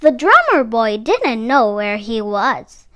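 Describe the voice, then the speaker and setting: A woman's voice: fairly high pitch, big pitch swings, slow, fairly bright tone. A woman narrating a children's picture book expressively, with dramatic phrasing. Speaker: read-aloud storyteller for children.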